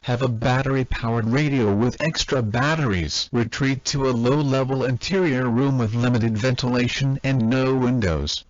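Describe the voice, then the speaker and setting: A man delivers an emergency alert in a formal, mechanical voice at a medium pitch. The delivery is flat and scripted, and the sound is dull and lo-fi, like an old broadcast. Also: dry recording.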